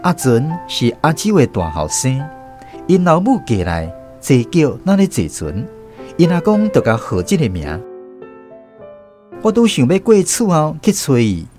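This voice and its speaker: Man, Taiwanese accent, first-person drama narrator, animated intonation, light music behind. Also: a little high, a little slow.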